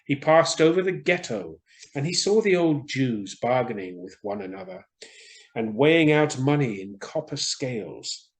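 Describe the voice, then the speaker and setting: Theatrical male storyteller, very animated pitch. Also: normal speed. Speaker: storyteller for children. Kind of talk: expressive story reading for children.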